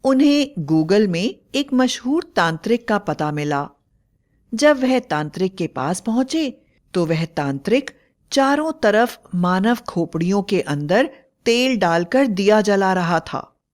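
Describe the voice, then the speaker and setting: A woman narrator tells a scripted tale. She takes it very slowly, at a medium pitch for a woman. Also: expressive, darkish tone.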